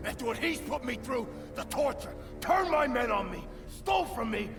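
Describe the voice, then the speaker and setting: An actor playing a ruthless, vengeful male crime boss in a video game, bitter and snarling, with a rough gravelly edge. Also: very high voice, expressive, normal speed, over music. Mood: furious. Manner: snarling, bitter, explodes into shouting. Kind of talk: video game cutscene acting.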